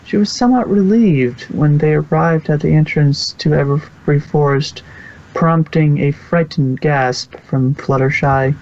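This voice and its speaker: A narrator reading fan fiction aloud in a halting way, stumbling now and then over the text. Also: medium pitch, a little mellow. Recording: dull lo-fi recording.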